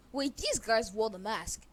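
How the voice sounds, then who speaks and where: High-pitched woman streaming a game. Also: animated, slow, no room sound. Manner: slangy, joking. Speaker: game streamer reacting while playing. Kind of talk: casual playthrough commentary. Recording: voice over loud game music.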